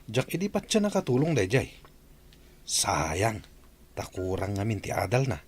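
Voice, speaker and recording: Man playing curious servant, fairly low voice, animated, faint noise, radio comedy drama. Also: unhurried, full character voice. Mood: curious, regretful. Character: curious, gossiping male mansion worker.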